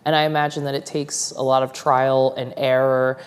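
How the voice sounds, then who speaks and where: A woman moderating a panel discussion, asking measured questions and affirming the speakers. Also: rumbling low, little pitch movement, in a large hall. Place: university forum hall.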